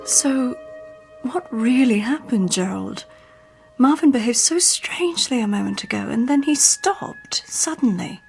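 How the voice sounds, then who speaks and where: An actress playing a repressed, long-suffering wife with formal diction in an emotional old-time radio drama style. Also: female voice, fairly high pitch, animated, measured pace, vocal fry, a little mellow, soft background music. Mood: uneasy, reluctant.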